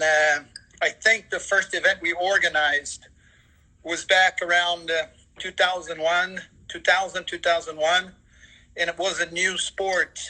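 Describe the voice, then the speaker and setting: A man in his fifties from Portugal speaks English with a Portuguese accent. His voice is very bright and slightly high, with a fairly flat intonation. He answers as an interview guest, picking his words deliberately with halting pauses. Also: low-quality recording. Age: 50s. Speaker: guest, sports events general manager.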